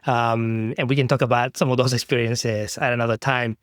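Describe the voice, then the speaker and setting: A man hosting a podcast, asking his guest measured, earnest questions. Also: medium pitch, flat delivery, clean tone, dry recording, clean studio sound. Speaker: podcast host. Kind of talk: conversational interview.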